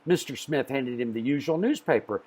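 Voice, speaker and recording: A man reading a children's storybook aloud in an expressive way, with dramatic pauses. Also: medium pitch, husky. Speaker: storybook reader.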